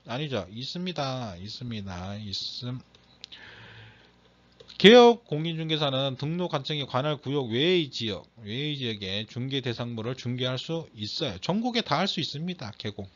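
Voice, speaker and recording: A man's voice: mid-range voice, very animated, moderate pace. A man lecturing for an exam, reading out questions and explaining answers, stressing and repeating key phrases in a slightly strained voice. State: slightly strained voice from throat trouble. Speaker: exam lecturer teaching real estate broker law. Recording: dull, muffled lo-fi audio.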